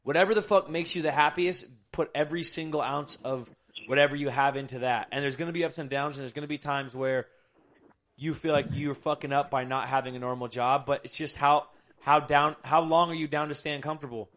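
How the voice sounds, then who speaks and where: A man's voice: fairly high pitch, steady tone, brisk, a bit bright. A young adult man hosting a podcast, talking bluntly and emphatically with lots of swearing. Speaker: podcast host. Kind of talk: casual podcast chat.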